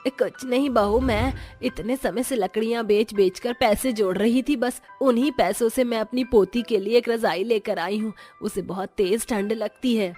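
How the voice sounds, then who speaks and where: Woman acting a kindly old grandmother, fairly high voice, gentle, reassuring, storybook tale, faint music. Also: normal speed, few pauses, close to an everyday voice. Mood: caring. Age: older.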